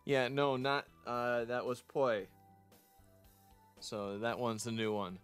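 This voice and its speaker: Low-voiced man streaming a visual novel. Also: slow, clean tone, faint music behind. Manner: rambling, chatty asides between read lines. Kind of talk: casual game playthrough commentary with read-aloud dialogue.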